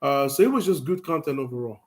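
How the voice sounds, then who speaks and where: A man's voice: medium pitch, animated, fast. A man from Cameroon whose first language is French, speaking English with a Cameroonian French accent as a guest on a casual livestream, friendly and chuckling. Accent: French-influenced Cameroonian English. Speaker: guest, co-host.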